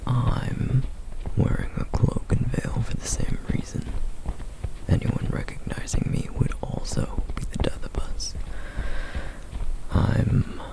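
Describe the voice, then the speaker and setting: A man voicing a protective, duty-bound male flame demon in a whispered ASMR roleplay, hushed, measured and dryly sardonic. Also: lightly acted, low-quality recording. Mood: guarded.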